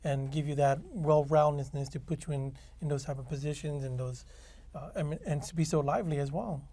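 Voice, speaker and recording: A man's voice: fairly high pitch, fairly quick, mellow. Earnest male interview host. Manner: earnest, with short affirmations. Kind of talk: interview hosting.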